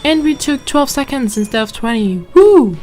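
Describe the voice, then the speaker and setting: A woman's voice: high-pitched, expressive, normal speed, dark tone. German-accented woman doing game commentary. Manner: chatty, reads in-game text aloud with stumbles. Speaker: gameplay commentator. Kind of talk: let's play commentary. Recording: game music behind.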